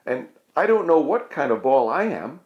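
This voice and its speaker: A man voicing a shy, gentle young ball character in a children's storybook, soft and earnest. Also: on the high side, big pitch swings, moderate pace, roomy sound, phone-quality sound, voice pushed up. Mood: unsure.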